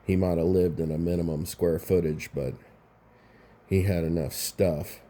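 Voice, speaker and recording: A man's voice: low voice, fairly even intonation, a little slow, warm tone. A man hosting a casual commentary vlog, sounding congested and rambling, often restarting his phrases. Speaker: YouTube commentary host.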